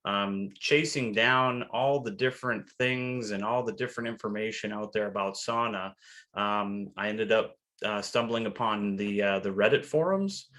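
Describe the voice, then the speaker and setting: Friendly, low-voiced man on a podcast. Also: normal speed, fairly bright tone. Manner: friendly, self-deprecating, with many fillers. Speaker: podcast guest. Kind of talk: casual podcast chat.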